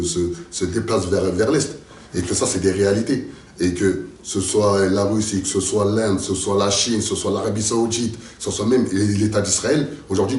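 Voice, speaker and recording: A man's voice: fairly low pitch, darkish tone, reverberant, distant mic. A man from France answering interview questions in an emphatic, repetitive, speechlike way. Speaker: local political activist and candidate.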